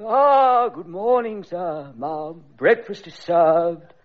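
An actor playing a haughty upper-class butler in a radio comedy sketch, pompous, dry and over-polite. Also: male voice, high voice, expressive, takes it slowly, muffled recording.

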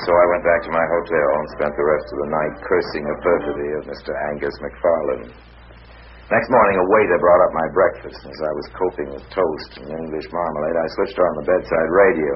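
An actor playing a hard-boiled private eye who narrates his case in dry, clipped sentences, in a vintage radio drama. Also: extremely low pitch. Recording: muffled old radio recording.

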